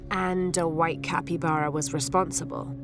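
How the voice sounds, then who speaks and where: A woman playing an earnest young adventurer in a video game, speaking gently and politely as she questions and listens. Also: low-pitched, steady tone, normal speed. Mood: curious, polite. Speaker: game protagonist. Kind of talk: video game cutscene dialogue. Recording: long reverb, music behind.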